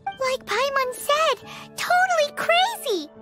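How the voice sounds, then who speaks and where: Very high, squeaky childlike fairy. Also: female voice, expressive, takes it slowly, pushed and bright, soft background music, exaggerated voice. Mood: nervous, exclaiming. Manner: refers to self in third person, exclaiming. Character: small childlike female fairy companion, cheeky and excitable. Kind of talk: video game voice acting.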